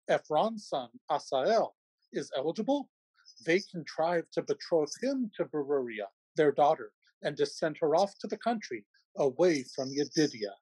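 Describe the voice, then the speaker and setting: A man's voice: on the high side, a little mellow, slightly rough, no room sound, low-quality recording. A man in his forties giving scripted narration of a play's plot over a video call. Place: home room, video call.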